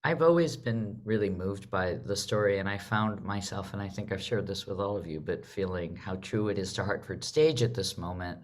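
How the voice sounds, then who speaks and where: A woman's voice: a bass voice, normal speed, hardly any pauses. A woman hosting a theatre panel discussion in a conversational way. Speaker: host, theatre leader.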